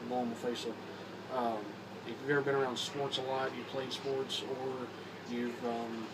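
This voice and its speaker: A young man from Kentucky with a Southern accent, a bit hoarse from a cold, rambling in a casual talk to camera with many restarts and fillers. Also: medium pitch, level tone. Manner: rambling, halting with repeats and fillers. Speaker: vlogger. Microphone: phone or camera mic. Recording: distant camera mic with background noise.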